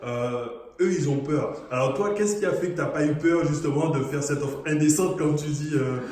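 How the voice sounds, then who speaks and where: A man's voice: fairly high pitch, normal speed, darkish tone. A man hosting a casual interview, chatty and encouraging, with many fillers, speaking French as an immigrant. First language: not French. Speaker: host, real estate coach. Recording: echoey room.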